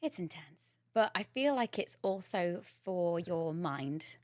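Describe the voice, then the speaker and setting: A woman's voice: on the low side, lively intonation, takes it slowly, no room sound. Bubbly woman podcast host, dry close sound. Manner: bubbly and effusive, laughs often. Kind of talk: scripted intro, then casual interview chat.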